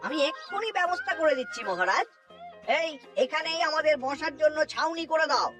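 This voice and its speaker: A scheming male court minister in a cartoon. The voice is very high and animated, eager and argumentative in manner. It sounds a little off the mic, with music behind it. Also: a little fast, recorded from a distance. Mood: eager, officious. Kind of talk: cartoon voice acting.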